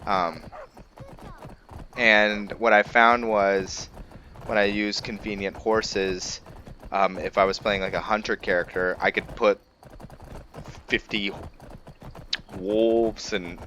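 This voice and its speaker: A man's voice: on the low side, steady tone, takes it slowly, pauses often, bright, forceful tone, highs cut off, slight background noise. A man giving casual, rambling gameplay commentary, chatting informally to his viewers as the host. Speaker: gaming video host.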